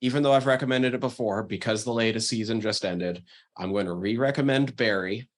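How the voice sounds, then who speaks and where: A man's voice: fairly low pitch, a little fast. A man hosting a podcast, making recommendations in a casual, emphatic way with enthusiastic swearing. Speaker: podcast host. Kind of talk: casual chat, giving recommendations.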